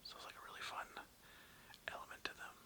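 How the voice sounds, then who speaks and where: A person whispering in a breathy, unvoiced voice, rambling casually and hesitantly as the host of an ASMR video. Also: quick pace, sharp tone. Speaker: video host presenting a toy collection.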